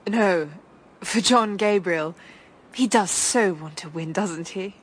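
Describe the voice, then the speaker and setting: Woman acting young gentlewoman, enigmatic, composed, polite, detached, mid-range pitch, radio drama. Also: slow, slight background noise, lightly acted. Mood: matter-of-fact. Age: young adult.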